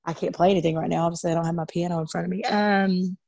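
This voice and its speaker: Chatty woman, low and dry. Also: fairly even intonation, rapid-fire, creaky, darkish tone, no echo. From Arkansas. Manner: chatty, many fillers and restarts. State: slightly raspy from singing two nights. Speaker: country singer guest. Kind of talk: casual interview over video call. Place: in bed at home.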